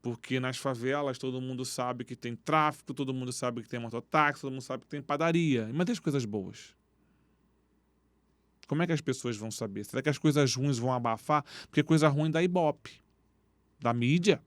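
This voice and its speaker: A man who coaches youth football, speaking as a podcast guest and telling stories in animated street slang. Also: medium pitch, brisk, no room sound. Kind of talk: casual interview talk. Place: podcast studio.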